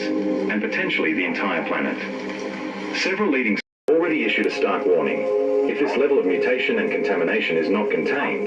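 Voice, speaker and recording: A man playing a grave news anchor, delivering a formal, measured scripted emergency bulletin in an analog horror drama. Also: fairly low pitch. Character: grave male news anchor reporting a disaster. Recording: muffled lo-fi broadcast, loud music.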